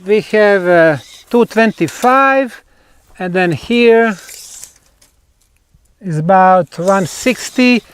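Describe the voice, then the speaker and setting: A man with a Bosnian accent explains a site on location as a researcher, in deliberate, emphatic short phrases with pauses. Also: sits high, lively intonation, smooth. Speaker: researcher presenting a field investigation.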